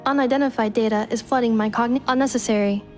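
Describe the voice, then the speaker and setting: A woman's voice: on the high side, fairly even intonation, quick pace, a little mellow, soft background music, close to an everyday voice. A woman voicing a composed, literal-minded robot girl in an anime game, speaking in a formal, clinical way. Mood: detached, composed. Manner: clinical, formal, matter-of-fact.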